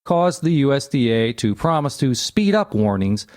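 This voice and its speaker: A man's voice: mid-range voice, very animated, moderate pace, darkish tone, no echo. Man reading an article in dry sound. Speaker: narrator reading an encyclopedia article aloud. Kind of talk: scripted narration.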